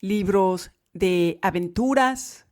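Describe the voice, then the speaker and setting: A woman teaching Spanish to learners in a podcast lesson, speaking clearly and emphatically. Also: medium pitch, extremely slow, a little mellow.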